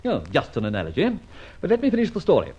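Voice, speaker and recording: An actor playing a conceited, teasing gentleman adventurer in a mid-century radio drama, suave and mock-innocent. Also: a little high, wildly swooping pitch, quick pace, slightly rough, heavily acted. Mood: breezy. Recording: dull old radio recording.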